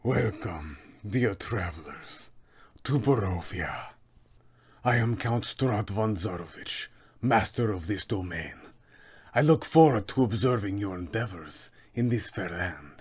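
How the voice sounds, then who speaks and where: A man voicing a menacing aristocratic vampire lord for a tabletop game, raspy and creaky, with slow, pompous delivery. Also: on the low side, lively intonation, darkish tone, pitched below the performer's own voice, heavily acted. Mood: grandly courteous, sinister.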